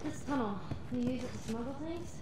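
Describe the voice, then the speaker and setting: A woman's voice: high-pitched, heavy background noise, lightly acted. A woman voicing a defiant, curious fourteen-year-old girl in a game, who asks prying questions and answers with sass. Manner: quick, prying, sassy.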